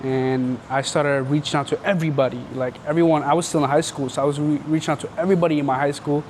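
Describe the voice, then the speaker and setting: A man's voice: on the high side, fairly even intonation, quick pace, a little mellow, a little hiss or hum. A young man from Canada, casually telling his life story in an interview, rambling and slangy with lots of filler words.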